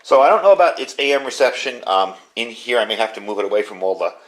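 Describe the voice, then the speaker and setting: A man's voice: lowish voice, lively intonation, fast talker, rough recording. A man giving a casual hands-on product review in an informal, conversational way, recorded in his garage studio. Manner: plain-spoken, informal, conversational.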